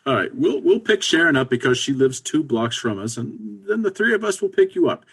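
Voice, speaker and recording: A man's voice: a little high, big pitch swings, a little fast, creaky, a bit bright, husky, full character voice. A man in a comedy reading, playing a preoccupied, matter-of-fact married friend with a dry manner. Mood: dry, practical. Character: preoccupied, businesslike married friend, dryly encouraging. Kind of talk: comedy readers theater.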